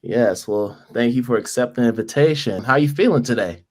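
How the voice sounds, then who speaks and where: A man's voice: mid-range voice, a little fast. A man hosting a podcast interview, upbeat and welcoming as he introduces and questions a guest. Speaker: podcast host. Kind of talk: conversational interview.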